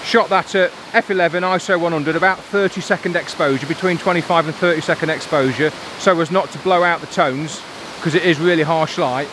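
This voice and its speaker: Chatty man, slightly high voice, rushing waterfall noise behind, handheld camera mic outdoors. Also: fairly even intonation. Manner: chatty, upbeat, colloquial. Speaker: landscape photographer vlogger. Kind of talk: casual outdoor vlog talk to camera. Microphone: on-camera mic, handheld selfie framing. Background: waterfall and stream.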